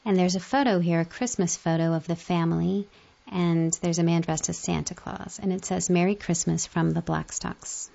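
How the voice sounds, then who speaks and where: A woman with a fairly low voice reads magazine articles aloud. There is a pronounced creak in her delivery, and the recording is somewhat dull and muffled. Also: fairly even intonation, normal speed, mellow.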